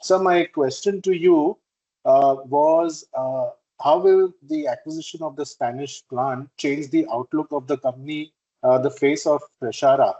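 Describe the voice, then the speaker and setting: A man speaks as an investor on a conference call. His tone is mellow and a touch high in pitch. He phrases questions formally, with halting pauses. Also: steady tone, lo-fi sound. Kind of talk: unscripted questions on an earnings call. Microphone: conference call connection.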